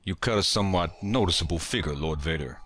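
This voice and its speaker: A man's voice: low-pitched, fast, a bit bright, slight background noise. A man playing a shrewd, self-assured imperial inspector in a comic dub, precise and formal. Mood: grave, calculating. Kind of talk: dramatic audio comic dub.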